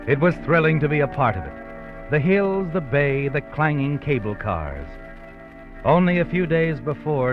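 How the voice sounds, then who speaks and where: An actor playing a mysterious, ominous male narrator who walks by night, in a brooding 1950s radio drama delivery. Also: mid-range voice. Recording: dull old radio recording with music.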